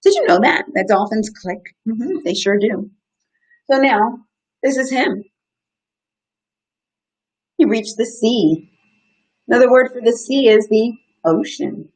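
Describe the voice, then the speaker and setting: A woman's voice: on the high side, slow pace, long pauses, slightly rough, poor audio. Woman leading an interactive children's story time. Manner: animated, asks the listeners simple questions. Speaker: outdoor educator reading to children.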